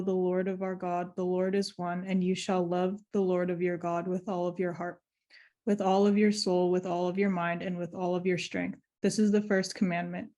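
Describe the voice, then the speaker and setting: A woman with a slightly raspy voice, a participant in the group, reading a scripture passage aloud with care and at a measured pace. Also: on the low side, mellow.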